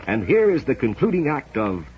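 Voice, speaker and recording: A man's voice: mid-range voice, very animated, normal speed, slight background noise. An American man announcing formally and emphatically from a script in a mid-century radio style, on a vintage recording. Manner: formal, emphatic, polished delivery. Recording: dull, band-limited vintage radio recording.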